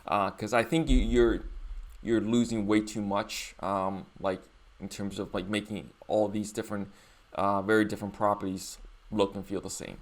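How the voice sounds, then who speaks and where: A man hosting a reaction video, giving casual commentary in a rambling, halting, think-aloud way. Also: on the low side, level tone.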